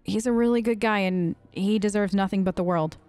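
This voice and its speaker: A woman's voice: medium pitch, brisk, darkish tone, no echo, faint music behind. Casual woman role-playing, dry close sound. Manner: informal, conversational. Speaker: let's play gamer role-playing her character. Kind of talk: casual in-character role-play narration.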